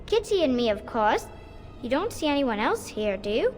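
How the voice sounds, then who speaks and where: A woman's voice: high-pitched, big pitch swings, normal speed, soft background music. An actress voicing a playful, stubborn little girl with a breezy, childlike manner, in a fantasy video game with echoing reverb. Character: small girl, playful, stubborn, eerily carefree. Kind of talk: dramatic video game acting. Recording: long echoing hall reverb.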